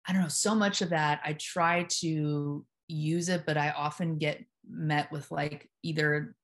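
A woman with a naturally low voice, speaking calmly and deliberately as a hypnotherapist guest in a casual interview. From Memphis, US South. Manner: calm, deliberate, steady delivery. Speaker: hypnotherapist at a psych hospital, guest.

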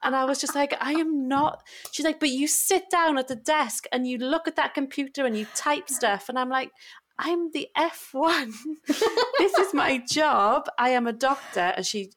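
A woman's voice: high-pitched, moderate pace, a bit bright, a little hiss or hum. A young woman doctor co-hosting a podcast, chatting casually with frequent laughs and restarts. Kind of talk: casual chat.

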